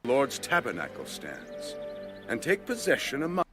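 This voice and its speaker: A man's voice: medium pitch, huge pitch swings, a little slow, a bit bright, faint music behind. A man voicing a stern priestly envoy in a dramatized audio Bible, speaking in a grave, accusing, declamatory way. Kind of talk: dramatized scripture reading.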